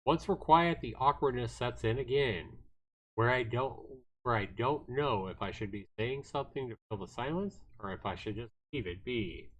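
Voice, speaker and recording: A man's voice: medium pitch, lively intonation, normal speed, a bit bright, highs cut off. A man livestreaming, narrating a visual novel aloud expressively with casual asides, game music playing behind him.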